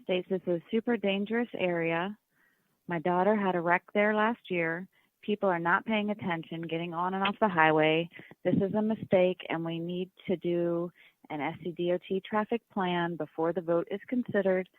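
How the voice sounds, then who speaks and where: A woman's voice: lowish voice, fairly even intonation, moderate pace, no echo. Female clerk reading submissions aloud, dry and narrow telephone-like audio. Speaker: staff member reading public comments. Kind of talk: reading written comments aloud. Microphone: phone or conference call. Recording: dry, tinny phone-like line.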